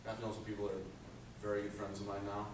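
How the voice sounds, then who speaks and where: A teenage high school boy with a low speaking voice gives an earnest, rambling presentation about his senior project, with many fillers. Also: completely flat delivery, racing. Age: teens. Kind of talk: presentation speech. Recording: echoey room, distant mic, background noise.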